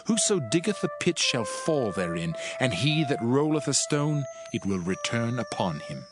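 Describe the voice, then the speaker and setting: A man giving a solemn, declamatory, dramatized reading of scripture in measured phrases. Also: medium pitch, big pitch swings. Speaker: audio Bible narrator. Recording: music behind the voice. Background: background music.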